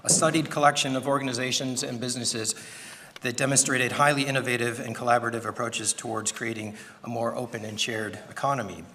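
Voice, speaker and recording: Soft-spoken man speaking in a hall. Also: mid-range voice, steady tone, a little fast, a little hiss or hum. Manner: soft-spoken, with throat-clearing. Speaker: event speaker introducing a presenter. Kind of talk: prepared speech. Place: large conference hall. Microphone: podium microphone.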